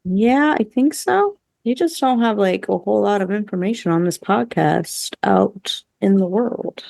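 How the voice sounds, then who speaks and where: A woman co-hosting a casual podcast discussion, explaining her ideas in a measured, tentative way. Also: mid-range voice, lively intonation, vocal fry, a little mellow, no echo. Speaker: podcast co-host.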